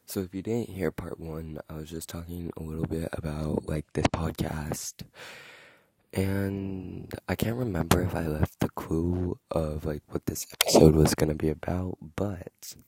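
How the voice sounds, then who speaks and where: Slow, gravelly young man ranting. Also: extremely low pitch, darkish tone, no echo. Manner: rambling, filler-heavy, indignant. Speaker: podcast host. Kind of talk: casual storytelling monologue. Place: outdoors in wind.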